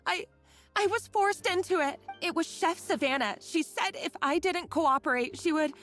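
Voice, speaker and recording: Nervous stuttery kitchen girl, high voice, soft music behind. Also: lively intonation. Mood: remorseful. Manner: halting, stammering and tearful. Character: young female kitchen worker, timid and guilt-ridden. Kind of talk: game voice acting. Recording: game audio with soft music.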